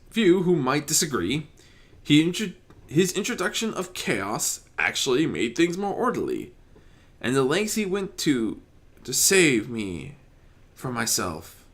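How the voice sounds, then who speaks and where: A man voicing a calm, gentle, fatherly armored god of order, soft-spoken and courteous, in a storybook reading. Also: on the high side, unhurried, pitched above the performer's own voice, full character voice. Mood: calm, grateful. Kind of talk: fan fiction audiobook character reading.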